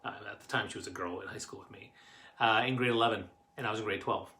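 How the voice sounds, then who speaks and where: A middle-aged man with a Canadian accent, telling personal stories casually to camera in a rambling, halting way. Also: fairly low pitch, fairly even intonation, pushed and bright, a little hiss or hum. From Canadian Maritimes. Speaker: host of a family stories show.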